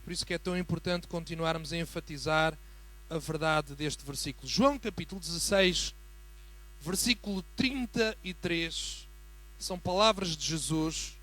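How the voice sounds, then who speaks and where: A man with a European Portuguese accent preaching as a guest in a church, building from a quiet greeting to fervent emphasis. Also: on the high side, normal speed, rough recording. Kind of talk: sermon.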